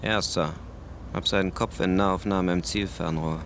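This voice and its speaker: A man's voice: low-pitched, fairly even intonation, normal speed, fairly bright tone, a little hiss or hum. An actor in a German radio drama playing a disciplined male police sniper, reporting in clipped phrases. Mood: controlled, focused. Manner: clipped military-style reporting.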